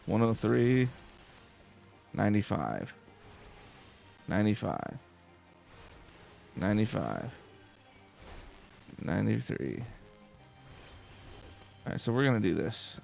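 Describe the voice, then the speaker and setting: A man hosting a video game let's play, giving calm, casual commentary and talking to himself as he plays. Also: fairly low pitch, slow pace, creaky, long pauses, soft background music. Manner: casual, talks to himself while playing. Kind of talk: calm, slow-paced gameplay commentary.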